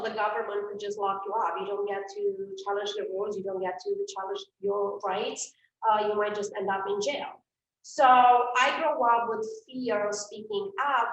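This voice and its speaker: A woman's voice: medium pitch, fairly bright tone, a little hiss or hum. A middle-aged woman from Romania with a Romanian accent, giving an earnest live talk to an online event audience, with frequent fillers and repeated words. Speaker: event speaker and coach. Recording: roomy, distant from the mic.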